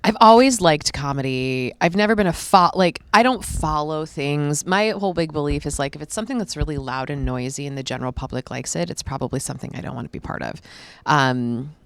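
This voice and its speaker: Late-thirties woman comic, low and smooth, candid and rambling. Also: fairly quick, dry recording. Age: 30s. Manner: candid, rambling, self-reflective. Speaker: podcast guest, stand-up comic. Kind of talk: casual conversation.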